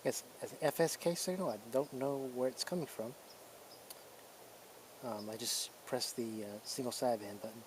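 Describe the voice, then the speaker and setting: A man's voice: medium pitch, moderate pace, noisy background. A man presenting as a radio hobbyist, giving casual hands-on demonstration commentary.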